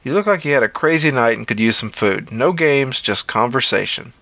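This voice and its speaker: A man's voice: mid-range voice, animated, brisk, creaky, bright, forceful tone, no echo. A man voicing an eager, persistent former lover in an audio story, coaxing and insistent. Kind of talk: audio fiction dialogue reading.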